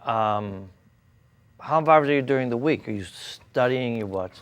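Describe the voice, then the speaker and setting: A man hosting a casual interview, asking informal questions in a halting way. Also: medium pitch, dry recording. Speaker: interviewer, host.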